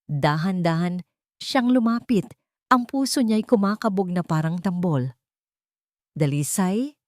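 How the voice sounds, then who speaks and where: A woman's voice: lowish voice, darkish tone, no echo, studio quality. A woman reading a scripted story as a dramatic narrator.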